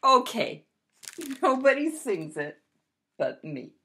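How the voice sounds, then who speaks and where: An older woman in her sixties with a high voice tells a story to young children. Her delivery is expressive, playful and unhurried. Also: a little hiss or hum. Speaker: storyteller reading to schoolchildren. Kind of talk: picture-book read-aloud. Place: small indoor room with brick wall.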